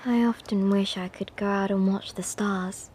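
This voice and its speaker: A woman's voice: medium pitch, fairly even intonation, normal speed, a little mellow, slight background noise, close to an everyday voice. A woman playing a haughty, prim upper-class schoolgirl at a wizard academy in an ASMR roleplay, snooty, scolding and teasing. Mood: soft, wistful. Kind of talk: ASMR roleplay addressed to the listener.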